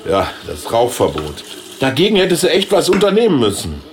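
A man's voice: mid-range voice, huge pitch swings, fairly quick, slight background noise. An actor playing a naively eager businessman in his sixties who turns politician, colloquial, in a radio play. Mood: melancholy, casual. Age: 60s.

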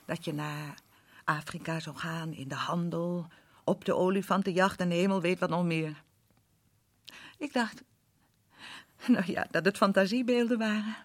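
A woman's voice: lowish voice, a little slow, pauses often. An actress playing a lonely, elegant lady of an English country house in an old radio play, poised but easily flustered. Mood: guarded. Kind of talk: radio drama acting.

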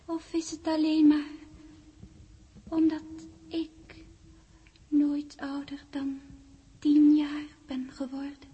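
A little ghost girl of ten in a 1960s radio drama. The voice sits high and soft-toned, with a mellow warmth. She coaxes and teases in a childlike way, ready to reproach. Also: monotone, slow, pauses often, highs cut off. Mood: plaintive. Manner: childlike, teasing, coaxing. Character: ghostly ten-year-old girl, coaxing, playful, reproachful.

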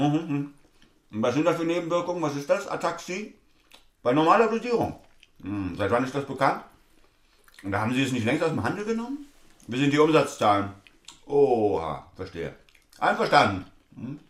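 A man's voice: on the high side, big pitch swings, normal speed, pauses often, reverberant. An actor playing a brusque, cynical lawyer and father in a naturalistic stage drama, clipped and dismissive. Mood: brusque, businesslike. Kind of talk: naturalistic stage-play dialogue acting.

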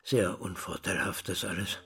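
An actor playing a pedantic school director in a theatrical drama, speaking in formal, wordy, deliberate phrases. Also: male voice, low-pitched, fairly even intonation, gravelly, pushed and bright, exaggerated voice. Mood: formal, grave. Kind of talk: theatrical drama dialogue and monologue.

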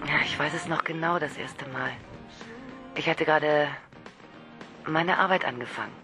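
A woman's voice: low-pitched, normal speed, loud music behind the voice, lightly acted. An actress playing a lonely, shy woman who calls a man on the phone, tipsy, nervous and obsessive, often whispering. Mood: confiding. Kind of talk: radio drama acting.

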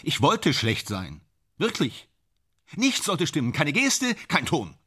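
A man's voice: on the high side, huge pitch swings, takes it slowly, a bit bright, husky, heavily acted. A man in a radio play acting a vain, tormented stage actor, bitter and self-dramatising, with a theatrical, declamatory manner that swings between muttering and outbursts. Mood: bitter pride.

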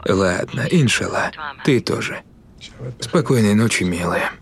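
A man's voice: on the low side, animated, a little slow, gravelly. A man reading the lines of a worried husband who speaks tenderly to his wife on the phone, as a voice-over with crowd noise underneath.

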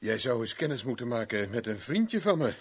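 An actor playing a wry, hard-drinking private investigator in a 1970s radio drama, with a sardonic, dry humour. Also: male voice, mid-range voice, big pitch swings, a little fast, slight background noise. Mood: wry defiance.

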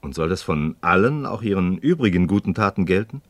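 A man's voice: low voice, expressive, brisk, no room sound. An actor playing an inquisitive, skeptical man in a radio play, speaking articulate, rhetorical in philosophical dialogue. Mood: puzzled, probing.

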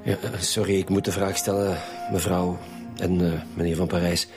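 A man's voice: low-pitched, little pitch movement, normal speed, over music. A man playing a blunt police superintendent with a Flemish accent, in naturalistic radio drama dialogue. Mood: probing, sceptical. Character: gruff, blunt male police superintendent leading a murder squad.

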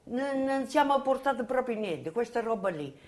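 An elderly woman recounting her memories as personal testimony, halting and often repeating herself as she goes. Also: on the high side, lively intonation, darkish tone. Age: 80s or older. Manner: halting, repetitive storytelling. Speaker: witness giving video testimony. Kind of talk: personal reminiscence, spoken testimony.

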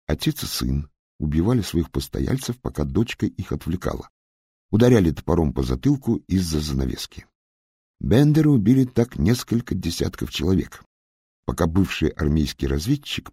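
A man voicing a young Russian-American who frankly recounts his hard family past in an unhurried audiobook narration with polite asides. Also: sits low, dark tone, breathy edge, dry recording, studio quality, full character voice. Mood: matter-of-fact.

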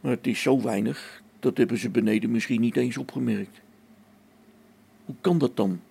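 A man's voice: mid-range voice, normal speed, gravelly, warm tone, husky. An actor playing a harried space station engineer in a radio play, thinking aloud as he reasons things out. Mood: baffled.

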